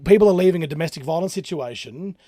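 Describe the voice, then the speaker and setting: A man's voice: a little high, expressive, fast talker, mellow. An Australian man hosting a chatty radio interview in an enthusiastic, matey way. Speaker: radio host.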